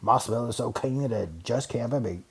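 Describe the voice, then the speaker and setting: A man voicing a big, gruff, boastful bear with a growl and a sneer for a children's storybook. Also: mid-range voice, normal speed, a little hiss or hum, lightly acted. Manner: bragging, snorting, grunting.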